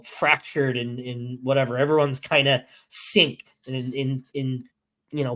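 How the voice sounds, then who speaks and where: A hoarse man, sick for days and now losing his voice, talking through a casual sports podcast. He stops and restarts often, pushing on in uneven bursts. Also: mid-range voice, fairly bright tone. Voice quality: halting, many restarts and fillers. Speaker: podcast co-host.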